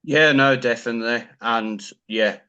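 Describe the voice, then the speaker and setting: Bright-toned encouraging male interviewer. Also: mid-range voice, moderate pace, clear, resonant tone, highs cut off. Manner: encouraging, affirming. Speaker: interviewer host. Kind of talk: casual interview.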